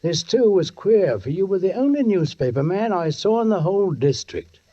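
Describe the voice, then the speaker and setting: Watchful police sergeant, suspense radio narrator, higher register, dull vintage sound. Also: male voice, sing-song, normal speed, mellow. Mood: brooding, suspenseful. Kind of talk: 1940s radio acting, first-person narration. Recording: dull 1940s radio recording, music behind.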